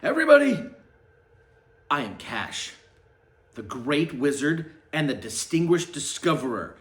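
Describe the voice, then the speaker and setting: A man's voice: a little high, big pitch swings, unhurried, reverberant. A man voicing an earnest, self-important wizard investigator in a pompous, declamatory way for a storybook. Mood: grandly proclaiming.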